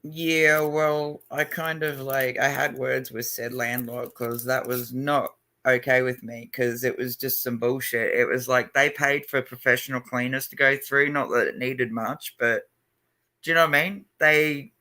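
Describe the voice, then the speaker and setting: A man's voice: medium pitch, steady tone, measured pace, sharp tone. A middle-aged man chatting casually on a livestream, loose and profane, with lots of fillers. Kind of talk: casual chat.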